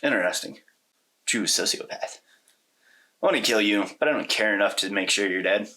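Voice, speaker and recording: A man with a low-pitched voice and a bright, forward edge speaks as a podcast co-host. He keeps it conversational and informal, with slangy turns of phrase. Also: brisk. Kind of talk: casual conversational movie discussion.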